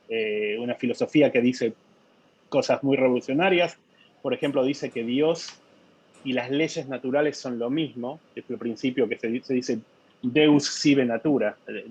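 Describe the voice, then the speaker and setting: A man answering interview questions in a husky voice, pausing often and repeating words as he thinks. The sound is dry and a little dull, like a lo-fi recording. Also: mid-range voice, darkish tone, dry recording. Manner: thoughtful, many hesitations and repeated words. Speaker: novelist being interviewed. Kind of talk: conversational interview.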